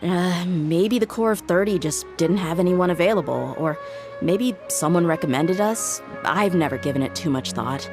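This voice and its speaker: A woman's voice: lowish voice, moderate pace, lightly acted. An actress playing a tough young female mercenary bodyguard who talks frankly and casually, with faint music behind.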